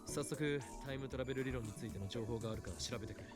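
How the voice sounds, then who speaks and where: Guy doing a dramatic young mad scientist from an anime game, pompous, quick, music under it. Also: medium pitch, lightly acted. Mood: commanding, dramatic. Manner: theatrical, pompous, commanding. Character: grandiose young male self-styled mad scientist, dramatic and haughty. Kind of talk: Japanese anime visual novel acting.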